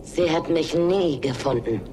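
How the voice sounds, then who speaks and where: A woman playing a menacing, bitter female dark-side inquisitor in an audio drama, with a taunting, deliberate delivery. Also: low voice, background noise.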